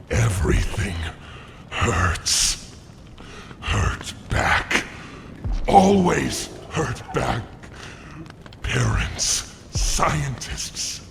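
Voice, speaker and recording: A man voicing a tormented, volatile monster in a horror video game, guilt-ridden and vengeful, speaking in halting fragments. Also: mid-range voice, sing-song, creaky, heavily acted. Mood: broken, remorseful, bitter. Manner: halting, fragmented, swinging between muttering and shouting. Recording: cavernous reverb over ambient noise.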